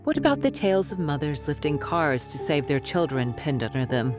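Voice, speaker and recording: A woman acting as a self-assured medical expert in a radio drama. Her voice is notably low, with music underneath. She is clinical, condescending and dismissive. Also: sing-song, fairly quick. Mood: lecturing. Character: smug, dismissive female doctor and skeptic author. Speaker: doctor, expert guest.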